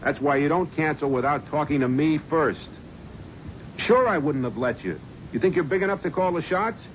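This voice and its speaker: A man's voice: on the high side, expressive, lightly acted. An actor playing a brash, ruthless male talent agent with a New York accent in a 1970s radio drama. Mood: bullying. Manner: brash, hard-edged, fast-talking hustler. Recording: dull lo-fi old radio broadcast with faint hiss.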